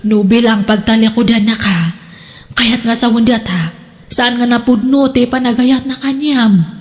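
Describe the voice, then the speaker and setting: A young woman playing a gravely ill, frightened daughter who pleads tearfully in a melodramatic audio drama. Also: fairly high pitch, moderate pace, natural voice. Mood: distressed pleading.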